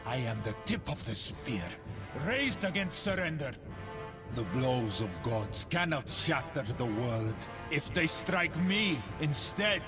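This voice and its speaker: A man's voice: medium pitch, very animated, slow. An actor playing a defiant, battle-hardened warrior in a video game, solemn and resolute as he declaims. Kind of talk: dramatic game voice lines. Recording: dramatic music behind. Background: music.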